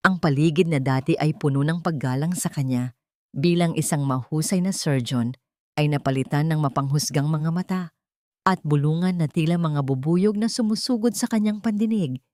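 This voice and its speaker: A woman's voice: low voice, moderate pace, a little mellow, no room sound. A woman narrating a dramatic scripted story in a solemn, emotive way.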